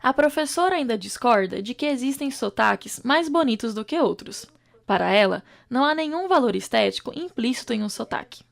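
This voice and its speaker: A woman with a Brazilian Portuguese accent, a student reporter, reading a scripted news voice-over in a measured, clear way. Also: fairly high pitch, lively intonation.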